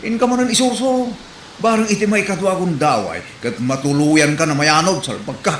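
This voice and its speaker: A man's voice: a little high, expressive, moderate pace, a bit bright. A man playing an angry, fed-up, sarcastic husband with an Ilocano accent in a comedy drama. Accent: Ilocano Filipino. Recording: roomy echo, clear background noise.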